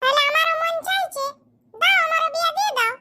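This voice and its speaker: A performer voicing a squeaky, indignant young man in a comedy cartoon, bickering. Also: fairly quick, heavy background noise. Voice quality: squeaky, artificially high voice. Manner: scolding, bickering, rhetorical questions. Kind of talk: exaggerated comic cartoon dialogue in rural dialect.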